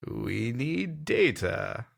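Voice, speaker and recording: Man playing a slow, theatrical mystic fortune-teller. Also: medium pitch, very animated, gravelly, full character voice. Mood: sly, ominous. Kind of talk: pulp sci-fi audio storytelling.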